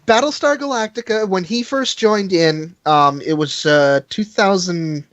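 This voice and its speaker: A podcast co-host chatting casually in an animated, exclamatory way. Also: mid-range voice, unhurried, muffled recording. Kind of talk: casual chat.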